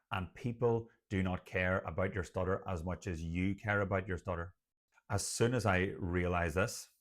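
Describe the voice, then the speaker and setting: An Irish man, a doctor who stutters, speaking earnestly to camera about his experience in a personal vlog. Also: low-pitched. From Ireland. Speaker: doctor and stuttering coach, channel host.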